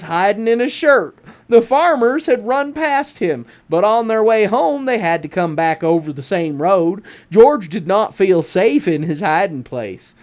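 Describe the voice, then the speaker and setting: A woman reading a children's picture book aloud as an expressive bedtime story, drawing out words for emphasis. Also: mid-range voice. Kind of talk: animated read-aloud bedtime story narration.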